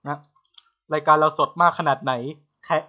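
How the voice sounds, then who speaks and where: A man's voice: fairly high pitch, steady tone, normal speed, clear, resonant tone. A man co-hosting a podcast in casual chat, on a slightly dull, lo-fi connection. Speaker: podcast co-host.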